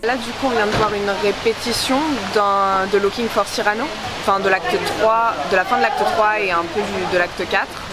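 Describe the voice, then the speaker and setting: A woman's voice: medium pitch, fairly even intonation, normal speed, over loud music. A woman giving a casual, unscripted account in an interview. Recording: very noisy, hissy ambient location sound.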